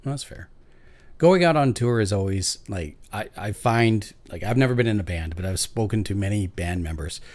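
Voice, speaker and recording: Male podcast host, lowish voice, lively swooping intonation, conversational interviewing. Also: moderate pace.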